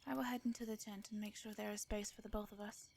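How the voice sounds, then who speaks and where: A woman playing a shy, formal vampire in a roleplay audio drama, with an English accent, a soft breathy voice and hesitant, flustered phrasing. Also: medium pitch, level tone, fast talker, slight background noise, natural voice. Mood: polite, reserved.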